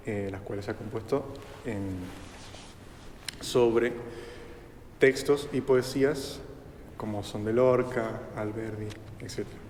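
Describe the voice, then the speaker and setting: A man's voice: fairly low pitch, fairly even intonation, slow pace, long pauses, darkish tone. A man interviewing a guest, asking long polite questions full of fillers. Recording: echoey room with hall reverb, faint noise.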